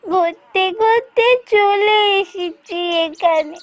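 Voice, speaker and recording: A woman's voice: extremely high pitch, takes it slowly. A woman voicing a plaintive, sweet, lost little village girl in a fairy-tale cartoon. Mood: timid, sweet. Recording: loud music behind the voice.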